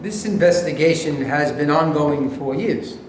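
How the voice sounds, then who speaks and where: A man's voice: fairly high pitch, a little slow, darkish tone, close to an everyday voice. A man acting the part of a formal, insistent government investigator in a staged reading of a play. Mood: firm, pressing. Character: firm, formal male government war-crimes investigator. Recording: very echoey room, light noise.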